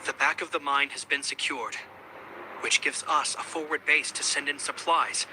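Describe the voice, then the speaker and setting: An actor playing a duty-bound young military captain in a video game, formal and commanding. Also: male voice, fairly high pitch, fairly even intonation, normal speed, noisy background, lightly acted. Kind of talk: dramatic video game voice acting.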